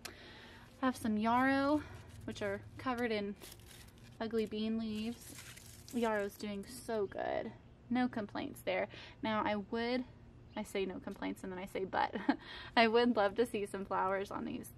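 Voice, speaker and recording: A woman's voice: mid-range voice, steady tone, measured pace, long pauses. A woman in her thirties giving a casual, chatty garden tour, talking affectionately as she goes. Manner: chatty, affectionate talk about plants. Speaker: home gardening vlogger. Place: outdoors in a home backyard garden. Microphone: handheld camera. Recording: clear background noise outdoors.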